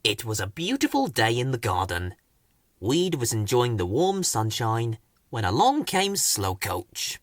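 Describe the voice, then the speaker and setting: A man's voice: mid-range voice, huge pitch swings, unhurried. A man narrating a children's story as an audiobook reader.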